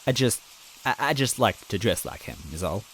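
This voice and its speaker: A man with a fairly low voice narrating a fan story in first person. He reads in an earnest, timid, self-deprecating way, with faint rain sounding in the background. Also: sing-song, moderate pace, fairly bright tone, slight background noise, rough recording. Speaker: story reader.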